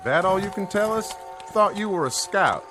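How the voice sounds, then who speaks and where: An actor playing a brash, excitable cavalry corporal. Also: male voice, high-pitched, normal speed, music in the background, pitched above the performer's own voice.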